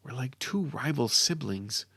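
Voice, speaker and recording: A man reads a fantasy tale with dramatic care. His mid-range voice moves widely in pitch and has a creaky, gravelly grain. The sound is close and dry. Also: dry recording. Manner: deliberate, expressive. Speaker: author and narrator. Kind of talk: dramatic scripted fantasy narration.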